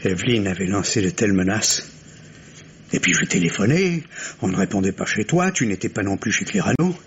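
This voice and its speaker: A dull, hissy old radio recording carries a low-voiced, anguished man. He speaks gravely and earnestly, torn between love and suspicion, in theatrical 1950s mystery style. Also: expressive, brisk.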